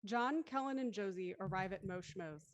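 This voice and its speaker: A woman's voice: medium pitch, normal speed. A woman reading stage directions as scripted narration for a drama.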